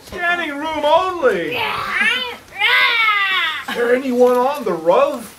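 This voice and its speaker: Performer as excitable kids' puppet host, slow delivery with big swoops, live music behind. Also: sits high, recorded from a distance, very noisy, full character voice. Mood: excited. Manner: exclamatory, calling out to the audience. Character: excitable puppet host searching for lost dinosaur friend. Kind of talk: children's puppet show performance.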